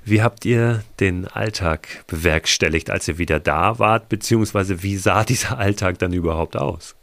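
A man interviewing a guest, asking gentle, probing questions in a relaxed conversational style. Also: deep voice, normal speed, no room sound.

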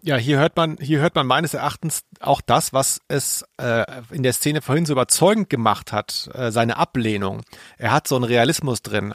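A man's voice: mid-range voice, vocal fry, fairly bright tone, no room sound, clean studio sound. A man co-hosting a podcast in casual, analytical chat, often checking for agreement with little tag questions. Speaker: podcast co-host.

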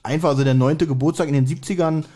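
A man with a slightly high voice co-hosts a casual podcast. He talks through a story conversationally, often hesitating as he works out his thought aloud. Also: steady tone, fast, dark tone, soft background music. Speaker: podcast co-host. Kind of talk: casual podcast chat discussing an audio drama episode.

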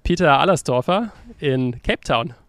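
Gravelly-voiced male podcast host. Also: mid-range voice, lively intonation, moderate pace, a bit bright, no room sound, clean studio sound. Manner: easygoing, brief affirmations, chuckles. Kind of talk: casual interview hosting.

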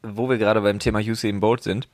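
A man co-hosting a podcast in casual chat, excitable, laughing often and swearing casually. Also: on the low side, fairly quick, no room sound. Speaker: podcast co-host.

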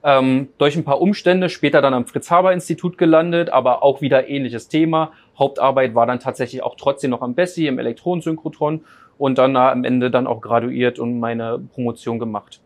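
A man in his thirties from Berlin, a data scientist guest telling his story in a relaxed interview, with many fillers and restarts. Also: medium pitch, brisk. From Berlin, Germany. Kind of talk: casual interview chat.

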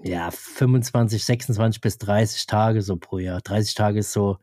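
A man co-hosts a podcast in relaxed conversation, explaining at an unhurried pace. His tone is dark and mellow, and a slight cold leaves his throat scratchy. The sound is dry and close to the mic. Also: lowish voice, dry recording. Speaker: podcast co-host. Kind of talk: casual chat.

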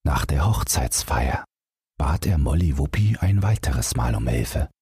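A man with a husky, gravelly voice reading a bedtime fairy tale as an audiobook narrator in a measured storytelling way. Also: extremely low pitch, expressive, mellow, dry recording, studio quality.

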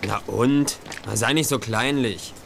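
An actor playing an easygoing young detective in a children's audio drama. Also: male voice, medium pitch, unhurried, gravelly, a bit bright, a little hiss or hum. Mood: breezy. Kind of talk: German children's radio play acting.

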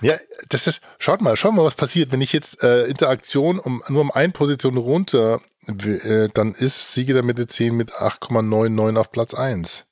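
A man hosting a podcast in a casual, jokey way, often halting and interrupting himself. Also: mid-range voice, dry recording, clean studio sound. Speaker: podcast host.

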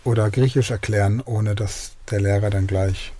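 A man's voice: fairly low pitch, steady tone, moderate pace, background noise. A middle-aged German man hosting his podcast and telling anecdotes enthusiastically in an interview. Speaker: podcast host and learning coach. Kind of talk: podcast intro and radio interview.

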